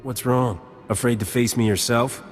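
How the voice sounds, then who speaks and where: An actor playing a cool, cocky spaceship captain in a video game, with a dry, confident delivery. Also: male voice, medium pitch, fairly even intonation, moderate pace, lightly acted. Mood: defiant. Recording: echoey hall, faint music behind.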